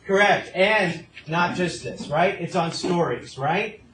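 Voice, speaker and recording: A man's voice: high voice, takes it slowly, a bit bright. A man teaching a math class informally, working a problem aloud and often checking in with short questions. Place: classroom with students. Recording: noisy classroom recording.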